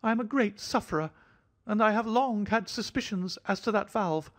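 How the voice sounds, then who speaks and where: A man voicing a jittery, fussy little man of about thirty, an anxious hypochondriac with a thin, high voice. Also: animated, moderate pace. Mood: fretful. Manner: fidgety, effusive, fretful.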